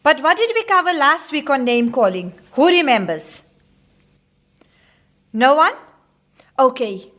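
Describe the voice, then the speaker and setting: A firm class teacher with a high, bright, sharp voice. She is exasperated and authoritative in this audio drama. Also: very animated, heavily acted. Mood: coaxing. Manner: scolding, brisk authority.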